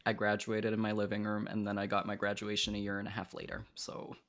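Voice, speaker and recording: A man's voice: on the low side, monotone, quick pace, fairly bright tone. A young man interviewing a guest on a podcast, asking earnest, thoughtful questions in a casual tone. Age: young adult.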